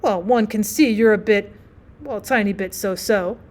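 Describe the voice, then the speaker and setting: Woman playing a hearty, sociable gentleman traveler. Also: mid-range voice, normal speed, close to an everyday voice. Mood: amused. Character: sociable, welcoming gentleman passenger, amused old friend. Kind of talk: acted audiobook storytelling.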